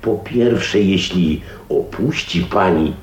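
Slow, halting, moralising pastor. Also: male voice, low voice. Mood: formal, halting. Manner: formal, halting, preachy. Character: stiff, earnest, moralising male pastor. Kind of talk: Polish radio drama acting. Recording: roomy echo, faint noise, radio drama.

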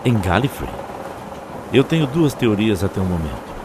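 A man's voice: on the low side, sing-song, normal speed. A man with a Brazilian accent playing a learned alien Time Lord who explains ancient lore like a storyteller in an audio drama. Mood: grave, reflective. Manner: expository, storyteller-like. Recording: faint background noise.

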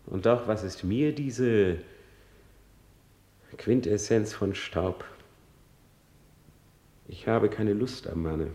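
An actor playing a tormented, self-loathing prince in a classical radio drama, declaiming in a way that swings between whispers and outcries. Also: male voice, on the low side, very slow, pauses often, warm tone. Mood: weary, disdainful. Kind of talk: classical stage-style Shakespeare radio drama monologue. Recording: roomy, echoing radio drama studio.

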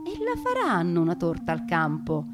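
A woman narrating a story theatrically, with deliberate emphasis and lingering pauses. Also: fairly low pitch, wildly swooping pitch. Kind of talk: theatrical narration of a family war memoir. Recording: music playing behind the voice. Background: music.

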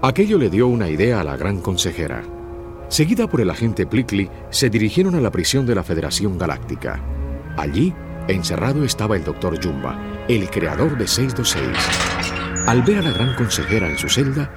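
A man's voice: lowish voice, sing-song, moderate pace. A man narrating a scripted children's story. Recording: music behind.

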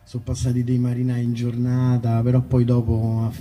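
A man's voice: mid-range voice, completely flat delivery, muffled recording, a little hiss or hum. Man chatting on stage, distant live sound. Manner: rambling, halting, self-interrupting. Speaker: singer-songwriter. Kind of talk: informal spoken introductions between songs at a live concert. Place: outdoor festival concert in a nature park. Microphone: stage microphone through amplification.

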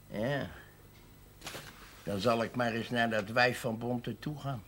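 A man's voice: mid-range voice, slow, fairly bright tone, a little hiss or hum. An actor playing a blunt, suspicious, hot-tempered working-class father who dislikes the clergy, in a naturalistic 1970s television drama. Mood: grudging. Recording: audio of 1970s television broadcast, music.

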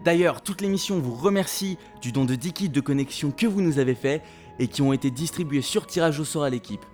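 An actor playing an excitable, gushing male presenter of a video game radio show in an audio drama. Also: fairly high pitch, fairly quick, soft background music, lightly acted. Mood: grateful, upbeat.

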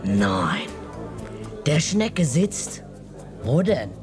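An actress playing a brash, streetwise woman who laughs and answers a detective with quick, mocking retorts in a radio drama. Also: very deep voice, wildly swooping pitch, music in the background, heavily acted. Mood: sassy.

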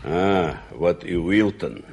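A man's voice: sits low, expressive, slow pace, vocal fry, a little mellow, heavily acted. An actor playing a blustering uncle, a proud war veteran turned businessman, theatrically swinging between indignation and courtesy in a radio play. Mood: brisk. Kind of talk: 1980s Soviet radio play acting.

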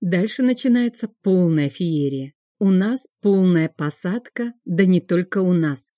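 Woman for first-person fiction narration: warm, mellow tone, very dry close studio sound. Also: lowish voice, expressive, unhurried, no echo. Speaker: audiobook narrator.

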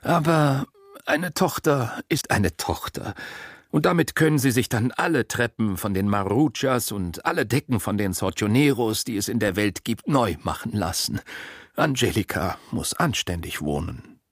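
A man's voice: lowish voice, lively intonation, moderate pace, no echo, studio quality. A man voicing a boastful, vulgar, newly rich father in a literary story, with a sly, pompous manner. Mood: expansive. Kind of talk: audiobook character reading.

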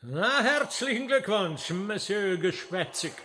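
Mocking lanky male sidekick, high piercing voice, sarcastic, jeering, radio play. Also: expressive, slow, pushed and bright, exaggerated voice. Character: mocking, lanky, checked-suited male demon's assistant.

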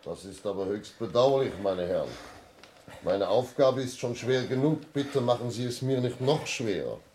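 An actor playing a stern, courteous, methodical police inspector in a 1960s German radio play, formal, measured and politely firm. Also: male voice, medium pitch, lively intonation, natural voice. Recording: faintly noisy 1960s radio recording.

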